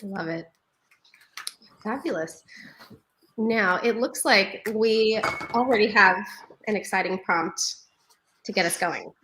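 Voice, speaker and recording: A woman's voice: mid-range voice, takes it slowly, long pauses, bright, forceful tone, slight background noise, rough recording. A woman from New York City moderating a live online panel over a video call, upbeat and laughing often. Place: home living room.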